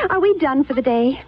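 An animated, sardonic young woman. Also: high-pitched. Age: young adult. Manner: dramatic, sardonic, protesting. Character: spirited, skeptical young woman, reluctant sorcerer's apprentice. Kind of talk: 1970s radio drama acting. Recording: dull, lo-fi vintage radio recording.

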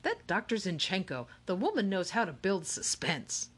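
A woman's voice: mid-range voice, sing-song, moderate pace, slightly rough. A woman voicing an eccentric, playful grown man who runs a game, speaking theatrically in an audiobook. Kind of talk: audiobook character reading.

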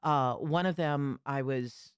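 A woman's voice: rumbling low, slow pace, clean tone, no room sound, studio quality. A middle-aged or older woman, an astrologer, telling stories reflectively in a conversational interview. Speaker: astrologer, podcast guest.

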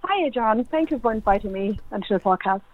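A woman's voice: on the high side, lively intonation, fairly quick. A middle-aged woman from Hong Kong and Ireland, an academic guest telling personal stories in an informal, chatty interview style. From born in Hong Kong, grew up in Ireland. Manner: informal, chatty, peppers talk with "you know". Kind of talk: conversational interview, personal anecdotes. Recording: thin, band-limited phone-like line.

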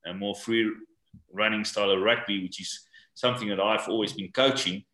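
An older man from South Africa, English not his first language, answering interview questions in a halting way and often repeating words. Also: fairly low pitch, fairly even intonation, bright, forceful tone, roomy sound. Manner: halting, repeats words while searching for them. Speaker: guest, rugby coach. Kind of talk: podcast interview.

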